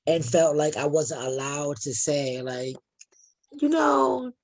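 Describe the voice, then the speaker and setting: A woman with a deep voice, a participant sharing a personal experience in a group discussion, talking in a thoughtful, searching way with many restarts. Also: lively intonation, takes it slowly, a little mellow. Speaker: workshop participant.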